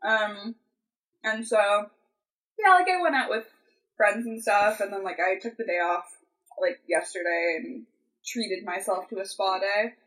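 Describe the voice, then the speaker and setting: A woman's voice: mid-range voice, unhurried, fairly bright tone, low-quality recording. Rambling woman in her twenties. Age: 20s. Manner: rambling, full of "like" and "um". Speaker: podcast co-host. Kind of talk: casual chat.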